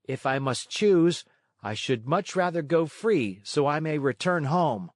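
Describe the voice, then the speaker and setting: A man acts out a small marionette boy in a children's storybook, pitched well up. He is proud and plucky, speaking with earnest indignation. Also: animated, a little slow, no room sound, studio quality. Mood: wary, pleading. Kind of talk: children's storybook read-aloud.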